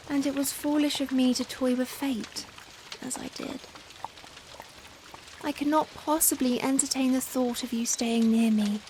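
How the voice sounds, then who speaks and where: A young Victorian vampire, played by a woman in an intimate ASMR roleplay. The voice is high and soft, moving very slowly through formal, hesitant phrases. The character is tender, anguished and full of self-reproach, with rain pattering behind. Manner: hesitant, formal, emotional, pleading. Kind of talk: softly spoken ASMR roleplay acting. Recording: steady rain noise behind. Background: rain falling on a surface.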